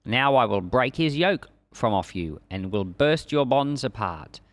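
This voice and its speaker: A man's voice: medium pitch, animated, a little slow, no echo. A man preaching and teaching from the Bible in an emphatic, conversational way. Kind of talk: Bible reading and informal expository sermon.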